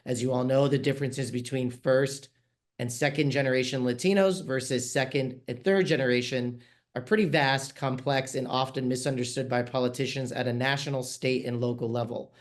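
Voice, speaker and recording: A man from New York moderating a virtual panel, friendly and a little halting, with frequent fillers. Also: medium pitch, fairly even intonation. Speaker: panel moderator, managing editor. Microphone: video call.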